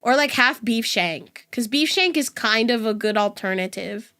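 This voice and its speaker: A woman's voice: fairly high pitch, lively intonation, normal speed, bright, forceful tone. A young woman with a neutral West Coast American accent, chatting casually and laughing often as a streamer talking to her chat. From United States West Coast. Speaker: VTuber streamer.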